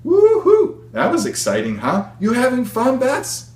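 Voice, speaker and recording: Storybook woman pilot, played by a man: exuberant, breezy, encouraging, raised high voice, echoey room. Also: huge pitch swings, measured pace, exaggerated voice. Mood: exhilarated. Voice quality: raised, high-pitched shouting voice. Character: exuberant woman pilot cheering on her young passenger. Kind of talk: storybook read-aloud. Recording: roomy echo, noticeable hum.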